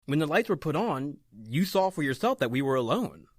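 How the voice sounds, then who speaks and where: A man playing a reassuring but skeptical brother in an old-time radio horror play, calming and questioning. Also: on the high side, fairly quick, no room sound, clean studio sound. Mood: skeptical concern.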